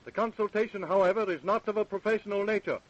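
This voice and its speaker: A man's voice: high voice, quick pace, muffled recording. A man narrating a radio drama as its announcer, in formal, scripted style.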